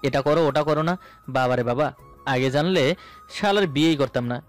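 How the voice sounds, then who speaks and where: A man's voice: on the high side, moderate pace. A man narrating a scripted romantic story in the first person. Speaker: narrator of romantic audio stories. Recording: voice over background music.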